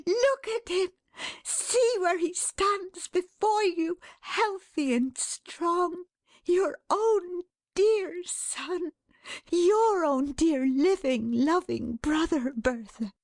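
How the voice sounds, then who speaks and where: A woman voicing an excitable, affectionate, flustered young wife in a storybook reading, breathless and emphatic. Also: high-pitched, unhurried, no room sound, voice pushed up. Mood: ecstatic. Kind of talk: dramatised classic storybook reading.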